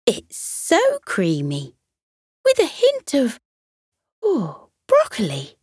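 Cheerful, sure-of-herself storybook lady cat played by a woman, high and very animated. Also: slow pace, breathy edge, no echo, studio quality, exaggerated voice. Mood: savouring. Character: cheerful, self-assured female cat in bedtime story.

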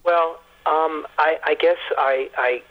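A man's voice: fairly high pitch, steady tone, slow. A man giving conversational interview answers as a guest over the telephone, often repeating words as he explains. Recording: muffled narrow phone line.

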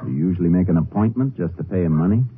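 An actor playing a calm, observant Texas Ranger who questions in a clipped, matter-of-fact way in a 1950s radio drama. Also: male voice, low voice, fast talker. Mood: probing. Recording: muffled, noisy old radio recording.

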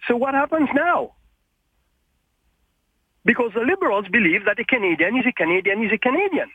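A man calling in to a radio show, insistent and animated, with a husky voice heard over a phone line. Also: extremely high pitch, fairly quick, no echo. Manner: animated, insistent, repeats points. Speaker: caller.

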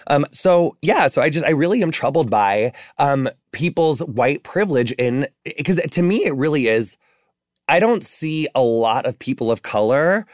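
A man in his thirties hosting a casual political chat, speaking with impassioned emphasis that climbs high when he is outraged. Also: expressive, normal speed, no room sound, clean studio sound. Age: 30s. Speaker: podcast host.

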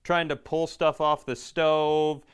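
Clear-voiced man riffing crudely on a podcast. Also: fairly high pitch, level tone, takes it slowly. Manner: crude, joking, repeats phrases while riffing. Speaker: podcast co-host. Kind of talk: casual comedic banter.

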